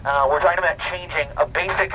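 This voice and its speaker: A man addressing a walk crowd outdoors through a megaphone, earnest and halting with many ums and restarts. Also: fairly high pitch, fairly even intonation, pushed and bright, lo-fi sound. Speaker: band member addressing a charity walk crowd. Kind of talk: outdoor motivational speech. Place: outdoors at a university campus crowd. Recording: shaky handheld camera, faint background noise.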